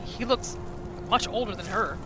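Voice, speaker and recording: A woman's voice: mid-range voice, moderate pace. A woman hosting a gaming video, giving casual, chatty commentary as she muses through a roleplay playthrough. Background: game music.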